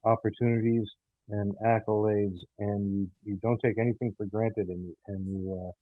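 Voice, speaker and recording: Phone-like muffled audio, man, lowish voice, hesitant halting delivery, interview guest, casual. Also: little pitch movement, dry recording. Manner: halting, hesitant, many ums and pauses. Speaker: audio theater producer and guest. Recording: muffled, phone-like narrow sound.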